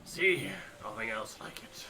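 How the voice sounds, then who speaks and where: A man voicing an older, wistful polar expert in a game, with breathy, earnest, savouring delivery. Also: on the low side, expressive, a little slow, noisy background. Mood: wistful awe. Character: older weathered male polar expert, wistful awe at the ice. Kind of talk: character voice acting in a game playthrough.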